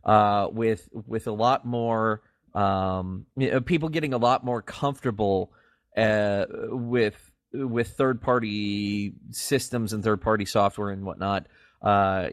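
A man's voice: medium pitch, no echo. Guy cohosting a casual podcast, mid-range voice, really into it and emphatic, very dry close sound. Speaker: podcast co-host. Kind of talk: casual video game podcast discussion.

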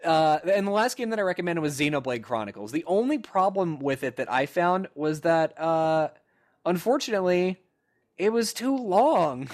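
Young male podcast co-host, a bit high-pitched, chatty and joking, trips over his own sentences. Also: expressive, moderate pace. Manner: rambling, self-interrupting, joking. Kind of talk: casual chat among friends.

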